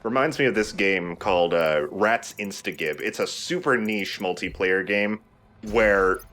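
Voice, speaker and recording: A man's voice: low voice, a little slow, fairly bright tone. A man hosting a let's play with laid-back, rambling, casual gameplay commentary.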